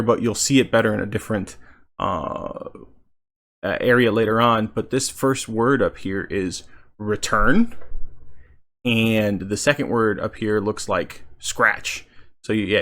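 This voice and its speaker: A man giving casual video game commentary as a channel host, halting as he thinks aloud. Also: fairly low pitch, fairly bright tone.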